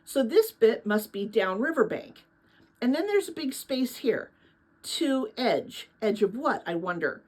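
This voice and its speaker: A kindly, reflective woman from a storybook, as a female reader plays her. Her voice is fairly high and slightly husky, with lively rises and falls. She speaks earnestly, with sadness and hope. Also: measured pace. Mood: thoughtful, gentle. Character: kindly, thoughtful adult woman, sad and hopeful.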